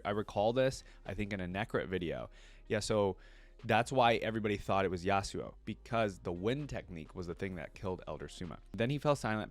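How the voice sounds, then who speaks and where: A man hosting a reaction video, reading story text aloud and adding casual, exclamatory commentary. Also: low-pitched. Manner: informal, exclamatory asides.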